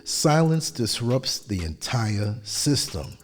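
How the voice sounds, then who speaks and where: Man, podcast host, hoarse, husky, very expressive pitch, measured emphatic monologue. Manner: measured, emphatic delivery in short declarative lines. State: hoarse, losing his voice. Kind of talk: motivational monologue on relationships.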